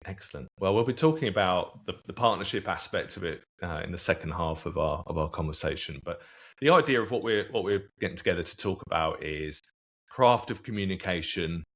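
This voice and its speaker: A man hosting a podcast interview, asking relaxed, rambling questions. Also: deep voice. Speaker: podcast host. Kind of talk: casual interview chat.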